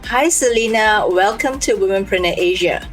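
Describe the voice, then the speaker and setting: A woman's voice: medium pitch, moderate pace, soft background music. A woman hosting an interview show, presenting and asking questions over a remote call. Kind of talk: scripted introduction and conversational interviewing. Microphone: remote video call.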